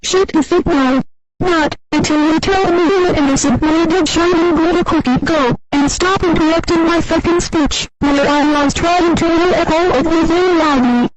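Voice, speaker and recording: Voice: very high voice, unhurried, poor audio. A voice playing a furious character who swears explosively, over music.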